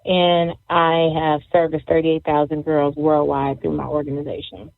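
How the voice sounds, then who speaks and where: A woman's voice: low voice, level tone, moderate pace, vocal fry, dry recording. A woman from Jamaica who grew up in Las Vegas, answering casually as an interview guest. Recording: dry, thin phone-like audio.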